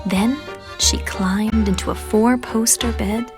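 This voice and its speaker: A woman narrating a children's storybook in an expressive, measured read-aloud manner. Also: on the high side. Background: music.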